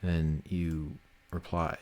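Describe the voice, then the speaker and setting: Male streamer, low voice, informal and sweary, chatting casually while he works. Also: level tone, slow, darkish tone. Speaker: livestream host and game developer. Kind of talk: casual livestream chat, thinking aloud while working.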